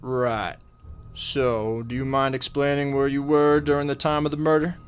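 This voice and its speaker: A man's voice: medium pitch, level tone, normal speed, slight background noise, natural voice. A man playing a formal, courteous detective questioning people in a radio drama. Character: polite, probing male detective questioning household staff.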